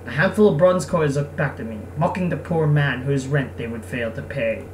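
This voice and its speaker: A man's voice: medium pitch, normal speed, darkish tone, slight background noise. Young man doing a casual game playthrough, echoey room. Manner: joking, swears, mock-exasperated asides. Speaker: let's player reading a visual novel aloud. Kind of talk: casual gaming commentary and read-aloud narration. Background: game music.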